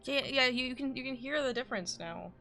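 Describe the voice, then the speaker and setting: Bright, creaky-voiced woman game streamer. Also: high-pitched, animated, a little fast, faint music behind. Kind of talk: casual live game commentary.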